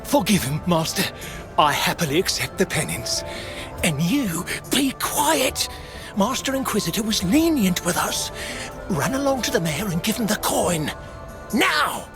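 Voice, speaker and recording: An actor playing an anxious, servile medieval merchant in a video game, with a pleading, excitable manner. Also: male voice, high voice, a little slow, heavily acted. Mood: submissive, then scolding. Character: servile, self-justifying medieval merchant father, anxious male. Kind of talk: video game cutscene acting. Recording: music behind the voice.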